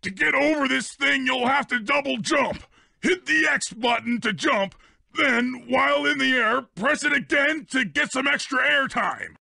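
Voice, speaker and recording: A man's voice: very high voice, unhurried, vocal fry, sharp tone, no echo, clean studio sound, exaggerated voice. A man playing a big, dim but loyal cartoon hippo strongman in a video game, boastful and childlike, with bombastic delivery. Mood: eager. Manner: bombastic, speaks of himself in third person.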